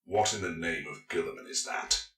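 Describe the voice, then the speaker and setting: Man voicing a forceful, grim space marine sergeant. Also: deep voice, normal speed, echoey room, pitched below the performer's own voice. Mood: tense, wary. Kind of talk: audiobook character dialogue.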